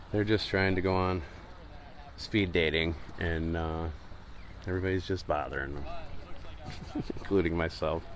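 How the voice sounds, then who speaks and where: Man, Michigan accent, deep voice, chatty livestream host, outdoors at a beach, phone mic, faint background noise. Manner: chatty, laughs often, answers viewers. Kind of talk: casual livestream chat reading comments.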